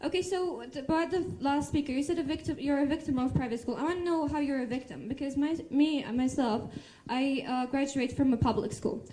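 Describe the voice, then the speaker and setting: A woman's voice: high-pitched, brisk, hardly any pauses, dark tone, a little hiss or hum, poor audio. A young woman, arguing spontaneously as a student debater. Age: young adult.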